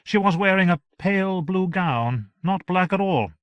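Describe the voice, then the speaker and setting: A troubled gentleman visitor, as a man plays him, earnest and solemn. He pitches the figure well above his own voice. The sound is dry and close. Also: animated, normal speed, no echo, muffled recording.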